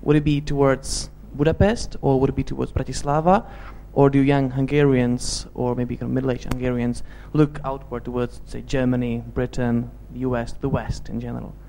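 A man's voice: mid-range voice, flat delivery, moderate pace, vocal fry, hardly any pauses, mellow, a little hiss or hum. A student in his twenties in the audience asking a question. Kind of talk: question from the floor.

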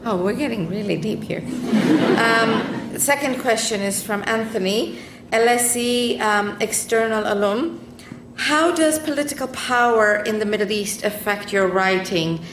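A woman's voice: fairly high pitch, animated, a little slow. A woman moderating a talk and politely reading out audience questions. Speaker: event moderator. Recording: roomy, noisy hall sound.

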